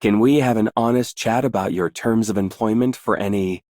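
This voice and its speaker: A woman voicing a composed, businesslike operative in a video game, speaking in a measured, polite way. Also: a bass voice, dark tone, dry recording, studio quality. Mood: composed, candid. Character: composed, businesslike weapons-testing operative considering a job offer. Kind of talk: video game character voice line.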